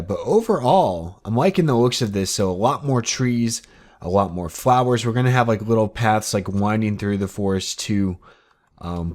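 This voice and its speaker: An eighteen-year-old man hosting his own game channel, talking casually and rambling upbeat with lots of fillers. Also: lowish voice. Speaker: gaming channel host. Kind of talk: casual Minecraft commentary.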